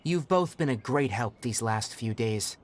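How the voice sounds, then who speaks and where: An actor playing a composed, knowledgeable young male forest ranger in a video game, speaking in an articulate, measured and formal way. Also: medium pitch, animated, a little hiss or hum, close to an everyday voice. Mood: composed, explanatory. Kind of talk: scripted video game voice acting. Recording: background music under the voice. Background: game music.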